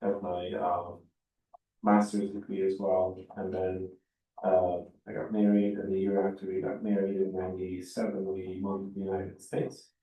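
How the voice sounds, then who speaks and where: Low-voiced man, heartfelt. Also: monotone, mellow. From Turkey. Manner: heartfelt, halting, many fillers. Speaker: oral history interviewee. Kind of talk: personal oral history. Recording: echoey room, distant mic.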